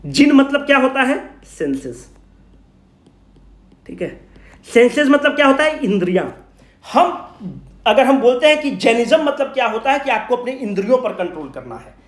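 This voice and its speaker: A man's voice: very high-pitched, sing-song, normal speed. A man giving an informal exam revision lecture, asking questions and answering them himself in colloquial speech, mixing in English terms. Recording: echoey room.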